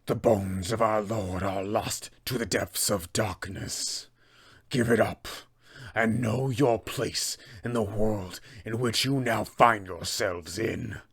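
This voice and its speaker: A man voicing a vengeful undead male paladin who speaks in a grim, menacing tone. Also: full character voice. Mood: scornful. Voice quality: gravelly, deep and slow.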